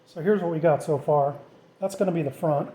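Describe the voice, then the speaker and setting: A middle-aged man with a fairly high but mellow, soft-edged voice talks through a DIY job. He is informal and self-deprecating, thinking aloud as he shows each step to viewers. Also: brisk, low-quality recording. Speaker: DIY vlogger. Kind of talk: casual hands-on tutorial narration.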